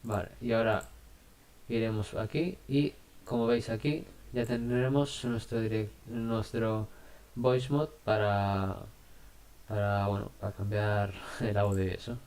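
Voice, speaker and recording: A man with an accent from Spain giving a casual, unscripted software tutorial in a hesitant, halting way. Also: on the low side, steady tone. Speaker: tutorial host.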